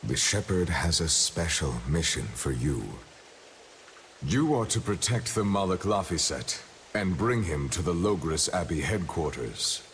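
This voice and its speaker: A solemn game figure of authority, speaks formally in a very low voice. Faint rain-like noise runs behind him. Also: male voice, animated, unhurried, fairly bright tone. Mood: solemn command. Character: grave male official delivering a solemn order.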